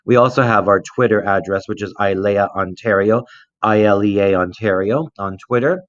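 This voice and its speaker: A middle-aged man giving an informal online presentation as an education director, explaining things in a measured, hesitant way. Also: fairly low pitch. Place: indoors, recorded remotely for an online conference. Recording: roomy, dull lo-fi online recording.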